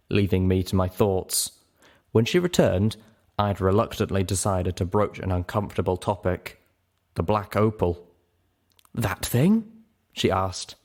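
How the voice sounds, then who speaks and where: A man's voice: sits low, darkish tone. A man narrating a story in the first person as a dramatic scripted reading. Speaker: story reader.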